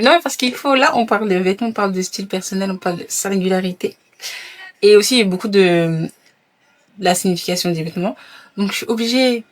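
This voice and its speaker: A woman's voice: medium pitch, a little hiss or hum. A young adult woman chatting casually in a vlog, dropping in English phrases as she talks. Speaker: vlogger.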